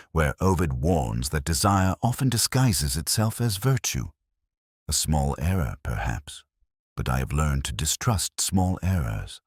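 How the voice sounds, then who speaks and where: A man playing a melancholy, reflective police inspector, narrating his case in a measured, literary way like an audio drama. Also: a bass voice, dry recording, clean studio sound, full character voice. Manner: measured, literary, brooding delivery. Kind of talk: scripted first-person detective audio drama narration.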